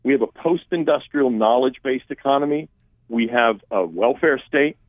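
A man's voice: mid-range voice, moderate pace, no echo. A man answering interview questions as a policy expert, explaining at length with restarts and asides. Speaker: guest expert on immigration policy. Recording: dry tinny phone-like line.

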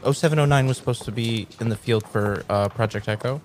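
A man playing a dry agency clerk in an improvised audio drama, tentative and deadpan as he reports. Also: medium pitch, quick pace, slight background noise, lightly acted. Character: unflustered, dry male agency clerk reporting to his superior.

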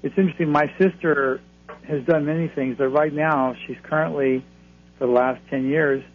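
A man's voice: on the high side, fairly even intonation, moderate pace. A man giving astrology readings to radio callers in a reassuring, advisory way. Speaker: astrologer guest. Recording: phone line, faint hum.

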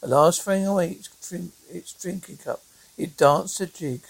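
Husky voice, gravelly creak, halting fairy-tale narration, stumbling amateur storyteller. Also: medium pitch, no room sound, rough recording. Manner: halting, stumbling over words.

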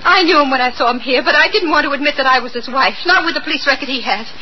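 A woman's voice: high-pitched, rapid-fire, natural voice. An actress playing a polite, evasive woman suspected of murder, in 1940s radio-drama style. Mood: defensive. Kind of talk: 1940s American radio acting. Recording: muffled, noisy old radio recording.

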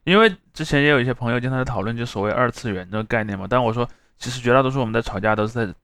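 Guy on a podcast explaining stuff step by step, talks fast, really dry recording no room sound. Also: medium pitch, a bit bright, smooth, no room sound. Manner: explains step by step, rephrasing and defining terms. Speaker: podcast co-host. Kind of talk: casual explanatory discussion.

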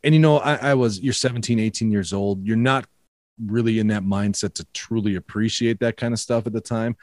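A man's voice: fairly low pitch, fairly quick, dry recording, clean studio sound. A man with a Minnesota accent, chatting casually and telling stories with many restarts and repeated words. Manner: warm storytelling, frequent restarts and repeated words. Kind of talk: casual conversation.

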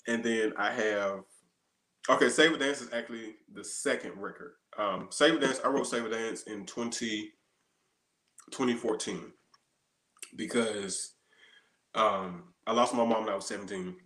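A man's voice: lowish voice, a little slow, long pauses, fairly bright tone. A man in his thirties chatting casually as an interview guest, explaining his songs as a singer-songwriter. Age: 30s. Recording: roomy, echoey sound.

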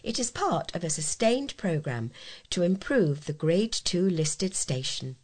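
A woman's voice: fairly low pitch, very animated, a little slow, darkish tone, slightly rough. A woman reading short local news items from a script as a volunteer newsreader.

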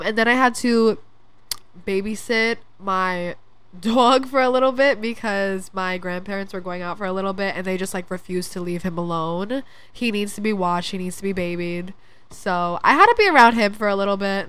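Young woman, podcast host, congested, chatty, filler-heavy, swears casually, mid pitch. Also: a bit bright, clean tone, no echo. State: congested from allergies. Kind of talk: casual chat.

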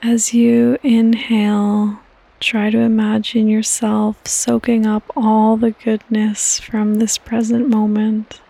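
A woman hosting a guided sleep meditation in a soft, breathy, partly whispered voice, with calm, gentle instructions and long pauses. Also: a little high, no room sound, poor audio. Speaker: podcast host and meditation guide.

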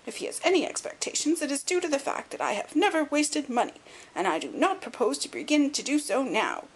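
A woman voicing a miserly, querulous little old lord in an audiobook, sharp and crackling, with a quick, indignant intensity. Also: high voice, very animated, voice pushed up. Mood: indignant, stingy. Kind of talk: audiobook character acting.

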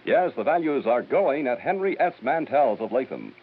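A man who works as a local station announcer, reading a 1950s radio commercial as an emphatic sales pitch. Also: expressive, normal speed, breathy edge. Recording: tinny, hissy 1950s radio recording.

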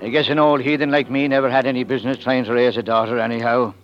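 An actor playing a gruff, worried, plainspoken working-class American father in 1940s radio drama style. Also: medium pitch, little pitch movement, fast, lightly acted. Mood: exasperated, worried. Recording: muffled vintage radio recording with music.

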